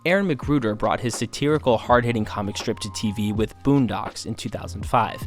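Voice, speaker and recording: A man reading scripted documentary narration in a measured, formal, informative manner. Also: on the low side. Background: faint music.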